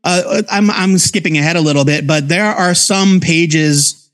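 A man's voice: on the high side, unhurried, a bit bright. A man co-hosting a podcast, explaining things in detail in a casual, geeky, conversational way. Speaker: co-host of a comics review podcast. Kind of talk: casual review chat.

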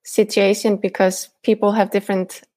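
A woman who teaches languages and writes books, speaking as an interview guest in a thoughtful, hesitant way with many pauses. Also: medium pitch, little pitch movement, darkish tone, no room sound.

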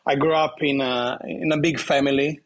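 A man in his thirties from southern Italy speaks English with an Italian accent, his voice husky and slightly breathy. He talks casually in an interview, stopping and restarting often and laughing lightly. His pitch sits in an ordinary middle range. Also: steady tone. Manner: halting, many restarts and fillers, laughs often. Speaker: guest bartender.

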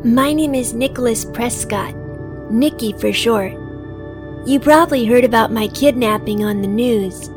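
A woman playing an earnest, innocent seven-year-old boy in an audio drama. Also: fairly high pitch. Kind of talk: audio drama acting and first-person narration.

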